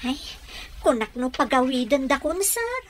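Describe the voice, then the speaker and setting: A woman's voice: high-pitched, big pitch swings, unhurried. A woman with a Filipino accent playing an anxious, respectful household helper in a radio drama, deferential and pleading.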